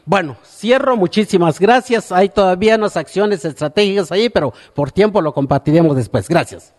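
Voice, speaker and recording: A man wrapping up a conference presentation, pausing on fillers as he searches for words. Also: sits high, lively intonation, a little mellow, in a large hall. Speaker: conference speaker. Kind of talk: closing remarks of a presentation.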